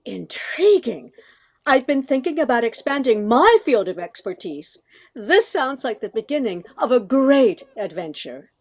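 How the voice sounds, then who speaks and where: A woman acting a refined lady professor in a radio comedy. Her voice is very high and swoops freely, with an arch, theatrical curiosity. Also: very animated, unhurried, heavily acted. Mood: intrigued. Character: refined, curious woman professor who scorns mundane cheese. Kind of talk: comic radio play acting.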